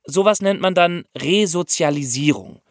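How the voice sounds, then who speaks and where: A man with a fairly high voice and very varied intonation co-hosts a podcast. He explains things step by step for young listeners, in a dry, close recording. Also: animated, moderate pace, no echo. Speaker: podcast co-host explaining law.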